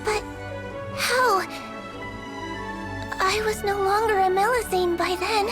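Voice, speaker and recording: Very high, gentle little creature nurse girl. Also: fairly even intonation, slow pace, loud music behind the voice. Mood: startled. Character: small, gentle, composed Melusine nurse girl, childlike. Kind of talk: video game voice acting.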